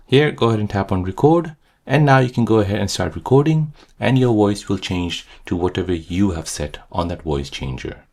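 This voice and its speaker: A man's voice: on the low side, expressive, moderate pace, vocal fry, a little mellow. A man hosting a tutorial, narrating scripted how-to instructions step by step. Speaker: tutorial host.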